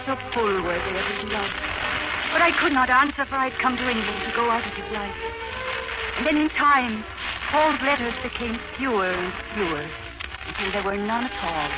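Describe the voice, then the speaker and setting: An actress playing a young Irish housemaid in love, with an Irish accent and earnest, emotional 1940s radio acting. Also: female voice, a little high, normal speed, over loud music, lightly acted. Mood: wistful. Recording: noisy 1940s radio broadcast, muffled.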